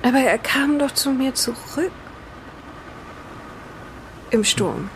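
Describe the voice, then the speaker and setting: A woman playing a shocked, reproachful wife in an improvised audio drama, speaking emotionally and haltingly. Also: sits high, background noise. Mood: shaken. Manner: emotional, indignant, halting when shaken. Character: shocked, reproachful wife clinging to hope about her husband.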